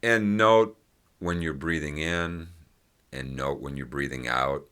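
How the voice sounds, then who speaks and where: Male meditation guide, extremely low pitch with a bright, clear-edged tone, gentle phrasing and generous pauses. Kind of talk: guided meditation, unscripted instruction.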